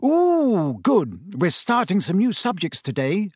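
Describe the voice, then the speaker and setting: A self-assured teenage schoolgirl in an audiobook, acted out by a male reader. She is brisk and bossy, heard dry and close. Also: mid-range voice, lively intonation, a little mellow, no room sound. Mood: pleased.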